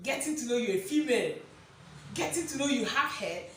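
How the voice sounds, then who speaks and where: Loud high-voiced woman teaching in echoey room. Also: animated, brisk. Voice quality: loud, projecting voice. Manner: emphatic, repeats key phrases, asks listeners rhetorical questions. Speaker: motivational speaker and presenter. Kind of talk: motivational teaching talk. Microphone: distant camera mic, no microphone on speaker. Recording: echoey room, distant mic, faint noise.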